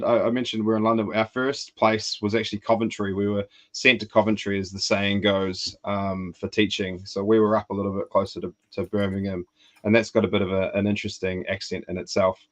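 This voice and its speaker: Low-voiced man telling travel stories. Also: a little fast. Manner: storytelling, relaxed anecdotes. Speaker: podcast co-host. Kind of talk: casual podcast chat.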